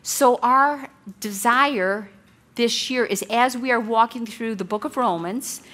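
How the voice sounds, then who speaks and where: A woman leading a women's Bible study lesson, talking loudly and in an earnest, encouraging way. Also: a little high, takes it slowly. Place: meeting room with a blower.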